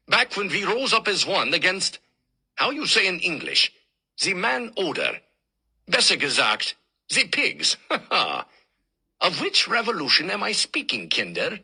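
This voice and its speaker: A man's voice: high voice, wildly swooping pitch, unhurried, bright, forceful tone, breathy edge, voice pushed up, full character voice. A man voicing an imperious, ancient German professor with a thick put-on German accent, barking and pompous.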